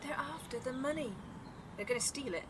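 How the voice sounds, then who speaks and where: Actress doing a paranoid stubborn woman in an audio play, bit high, blunt, clear outdoor noise behind. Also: a little fast, close to an everyday voice. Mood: paranoid, insistent. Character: suspicious, stubborn woman guarding her money. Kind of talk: naturalistic audio drama acting.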